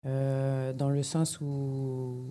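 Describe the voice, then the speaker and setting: Woman podcast guest, low pitched n mellow, methodical, down to earth, hunts for words. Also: level tone, drawn-out words. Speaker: elite jiu-jitsu athlete, podcast guest. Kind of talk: explanatory interview talk.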